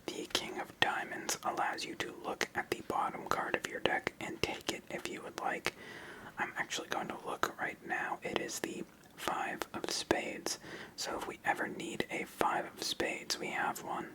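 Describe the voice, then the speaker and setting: A person whispering gentle step-by-step ASMR commentary while playing a solitaire card game as host. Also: normal speed, poor audio.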